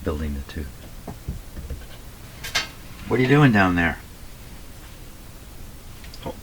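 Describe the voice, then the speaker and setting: A man with a slightly hoarse voice gives casual running commentary as he works, muttering to himself and reading things out deliberately. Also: low-pitched, very animated. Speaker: radio repairer and video host. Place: radio repair workshop at a bench. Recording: clearly noisy workshop recording with clicks.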